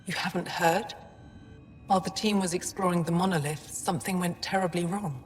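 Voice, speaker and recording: An actress playing a wary, skeptical alien scientist in a video game, speaking in a measured, guarded way. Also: female voice, on the low side, steady tone, natural voice. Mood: grave, cautious. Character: wary female alien lead scientist, skeptical but practical. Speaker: lead scientist. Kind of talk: video game dramatic acting. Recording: long reverberant hall, faint music behind.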